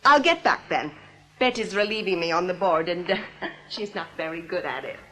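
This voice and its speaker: A woman's voice: lowish voice, expressive, normal speed, pushed and bright, slight background noise, poor audio. An actress playing a chatty, cheery bank switchboard girl in a radio drama, perky and informal. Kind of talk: British radio drama acting.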